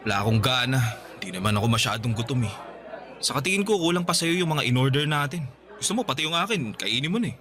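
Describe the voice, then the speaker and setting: An actor playing a scornful, blunt young boyfriend who nags and mocks in a Tagalog radio drama. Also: male voice, medium pitch, animated, fast talker, fairly bright tone, lightly acted. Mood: sullen. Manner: mocking, blunt, nagging.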